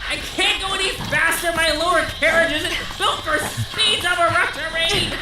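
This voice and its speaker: A very high, echoey voice of a fussy robot servant, prim and anxious. The droid complains formally and exclaims, sounding far from the mic in an audio drama. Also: female voice, steady tone, moderate pace, very noisy. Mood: panicked, complaining.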